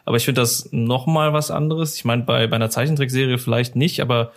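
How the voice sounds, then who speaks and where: A man's voice: mid-range voice, steady tone, quick pace. A man interviewing in a casual podcast chat, phrasing his questions in a tentative, wordy way.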